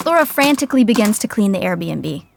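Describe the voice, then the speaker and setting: A woman's voice: mid-range voice, big pitch swings, fairly quick, creaky, slight background noise. A woman playing the wry narrator of a scripted sitcom.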